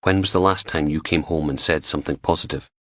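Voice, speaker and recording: A man's voice: rumbling low, fairly even intonation, fast talker, fairly bright tone, no room sound, clean studio sound. A man voicing a cheeky, teasing young goth woman who works in forensics.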